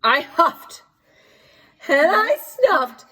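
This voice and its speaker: A woman's voice: very high voice, sing-song, extremely slow, pushed and bright, pitched above the performer's own voice, full character voice. A woman voicing a chummy male storybook wolf who makes excuses for himself, theatrical, conspiratorial and mock-innocent. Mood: aggrieved. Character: indignant wolf telling his side, chummy, self-excusing, male. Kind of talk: animated storybook read-aloud.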